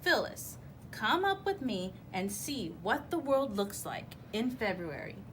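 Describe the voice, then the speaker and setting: A sleepy old male groundhog performed by a woman from a children's storybook. He grumbles dismissively, dragging out his words with wide rises and falls in pitch. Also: very animated, a little slow, a bit bright, slight background noise. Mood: sure of himself.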